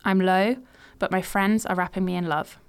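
A woman in her late twenties reads her own story in the present tense, with a smooth, clear voice. Her telling is measured and reflective, and her pitch sits in the middle range. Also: steady tone. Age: 20s. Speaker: podcast host. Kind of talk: reading a personal story aloud.

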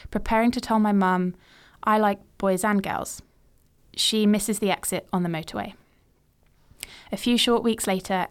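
A woman in her late twenties, from England, hosting a podcast and reading a personal story in a measured, reflective way. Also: mid-range voice. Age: 20s. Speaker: podcast host.

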